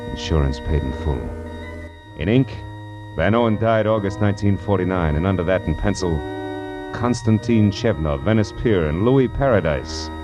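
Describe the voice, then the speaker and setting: A male actor plays a tough private eye telling his own case. His voice is very low, dry and deadpan, with a wisecracking edge. Music plays behind this vintage radio noir narration. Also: natural voice. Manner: hard-boiled, wisecracking, deadpan.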